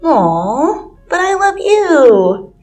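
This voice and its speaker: A woman's voice: sits high, sing-song, very slow, a little mellow, voice pushed up, heavily acted. A woman voicing a teasing, childlike joker of a teenage best friend in a dramatic fan fiction reading over music. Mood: playful. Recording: music behind the voice.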